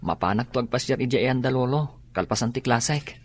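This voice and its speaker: A man's voice: mid-range voice, brisk, natural voice. A man playing an earnest, studious young student in an Ilocano radio drama. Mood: concerned.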